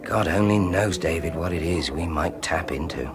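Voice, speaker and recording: An actor playing an obsessive, sardonic scientist with a British accent in a radio drama, speaking tersely and intensely. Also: male voice, deep voice, normal speed, crowd noise, close to an everyday voice. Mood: intense, brooding. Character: obsessive scientist husband, brooding, bitterly sardonic new father. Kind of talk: 1980s radio drama acting.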